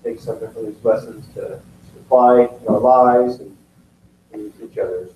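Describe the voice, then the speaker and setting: A man leading an unscripted prayer in a soft, halting way, with fillers between phrases. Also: mid-range voice, steady tone, lo-fi sound. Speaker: class member leading prayer. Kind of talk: spontaneous spoken prayer. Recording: distant and roomy with faint noise.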